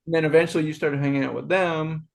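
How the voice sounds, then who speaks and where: A man in his fifties co-hosting a podcast, joking about himself while reminiscing about high school. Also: fairly even intonation, fast, gravelly. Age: 50s. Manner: self-deprecating, joking, wry. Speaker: podcast co-host. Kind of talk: casual reminiscing chat.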